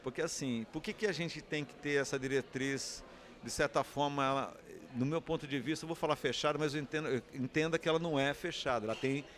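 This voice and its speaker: A man's voice: a little high, a little fast. A man with a Brazilian Portuguese accent giving an unscripted interview answer, explaining and often posing rhetorical questions. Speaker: interviewee, communications academic.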